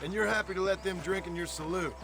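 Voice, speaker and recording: A man's voice: high-pitched, quick pace, vocal fry. Terse male gunslinger, American Western drawl, dry, challenging, stubborn, cinematic game acting, background music. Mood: dry, skeptical. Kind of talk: video game dramatic acting.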